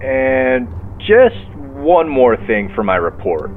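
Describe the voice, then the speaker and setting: Actor as genial county sheriff on a thin phone line, courteous, probing, lively male voice in an audio drama. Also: mid-range voice, slow. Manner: folksy, courteous, methodically questioning. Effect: phone line filter. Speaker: law enforcement officer. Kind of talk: naturalistic audio drama dialogue. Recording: tinny phone line, car noise.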